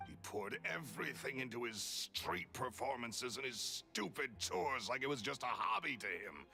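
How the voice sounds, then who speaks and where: An actor voicing a scheming, jealous male magician villain in a video game, gravelly and menacing. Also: medium pitch, very animated, normal speed, pushed and bright, soft background music, heavily acted. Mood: bitter. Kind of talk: game voice acting.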